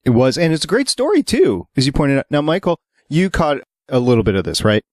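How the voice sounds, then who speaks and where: A man's voice: fairly high pitch, very animated, fairly quick, darkish tone, no room sound, clean studio sound. A man hosting a podcast, chatting casually with a panel. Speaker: podcast host.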